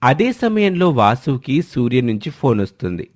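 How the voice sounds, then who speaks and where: A man with a medium-pitched voice narrates a scripted story. He delivers it as a dramatic storyteller of family tales. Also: sing-song, normal speed, a little mellow.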